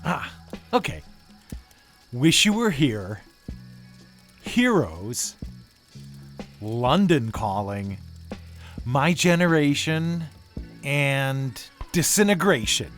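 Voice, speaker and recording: An actor playing a laid-back, self-assured DJ with deadpan delivery in casual audio drama banter. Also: male voice, mid-range voice, drawn-out words, pauses often, faint music behind, exaggerated voice. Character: laid-back deadpan DJ explaining absurd theories.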